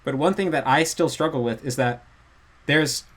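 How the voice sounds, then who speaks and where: A man's voice: fairly high pitch, moderate pace. A man, a guest in a casual chat, earnestly explaining at length. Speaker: guest language learner.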